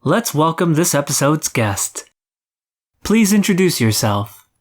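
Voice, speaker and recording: Mid-pitched podcast host asking interview questions. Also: very animated, unhurried.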